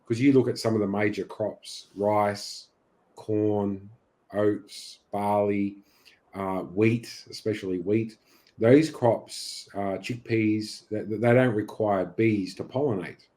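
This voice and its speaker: A man's voice: fairly low pitch, fairly even intonation, takes it slowly, warm tone. An Australian man talking as a guest on a video-call interview, enthusiastic and chatty, often restarting his sentences. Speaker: guest beekeeper. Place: unheated home studio.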